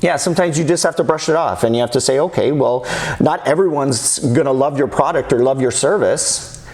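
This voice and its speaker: A man's voice: mid-range voice, a little fast, darkish tone. A young man from Canada, a business owner talking casually in an interview, hesitant with many restarts.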